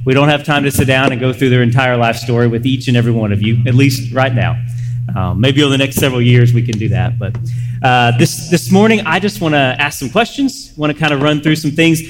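A man hosting a casual live interview on a church stage, speaking informally and haltingly, often repeating phrases. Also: mid-range voice. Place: large church room, on stage. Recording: echoey hall, clear background noise.

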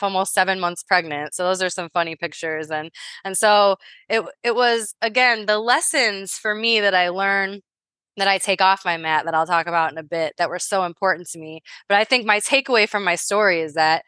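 A woman in her thirties chats through a personal story on a podcast, restarting her sentences and laughing often. Her voice is bright and forward, and the recording is dry with no room sound. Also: medium pitch, dry recording. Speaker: podcast co-host, yoga teacher. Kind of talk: casual podcast chat.

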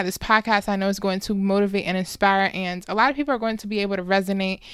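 A woman's voice: mid-range voice, a bit bright, clean tone, no echo, studio quality. A woman in her early twenties hosting a solo podcast, chatting informally and casually with slangy phrasing. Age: early 20s. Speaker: podcast host.

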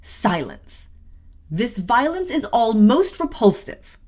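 A woman's voice: a little high, big pitch swings, normal speed, pitched above the performer's own voice. A woman voicing a cold, stern mistress of the house with a peremptory, formal manner, in a classic novel reading. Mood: cold, commanding. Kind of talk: classic novel audiobook character voice.

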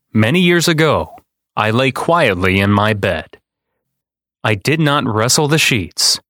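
A man's voice: mid-range voice, fairly bright tone, no room sound. Male storyteller, podcast-host narration, very varied intonation, dry sound. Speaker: podcast host reading a children's book aloud.

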